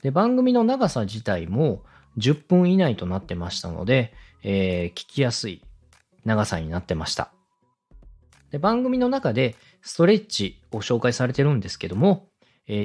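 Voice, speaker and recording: Male podcast host, husky voice, very varied intonation, polite step-by-step coaching, music underneath. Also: medium pitch, wildly swooping pitch, moderate pace, darkish tone. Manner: polite, explanatory, methodical. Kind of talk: structured advice talk for a podcast.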